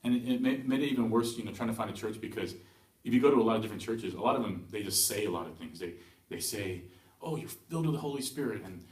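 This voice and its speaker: A young man born in Taiwan giving a hesitant personal testimony at church, with many pauses and restarts. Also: on the low side. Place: church hall. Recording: echoey room from far off.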